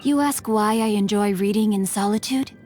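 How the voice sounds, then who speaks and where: A woman's voice: mid-range voice, moderate pace, darkish tone, lightly acted. A woman voicing a courteous, bookish teenage boy martial artist in a video game, with refined, eloquent and formal diction. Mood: polite, cheerful. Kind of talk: video game character voice lines. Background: music.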